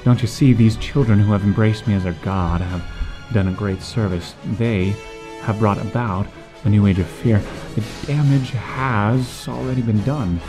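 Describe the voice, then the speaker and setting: A man acting a smug, calculating villain in an audio drama, taunting in drawn-out, halting phrases. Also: lowish voice, over music, lightly acted. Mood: gloating. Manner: hesitant, drawn-out phrasing with pauses.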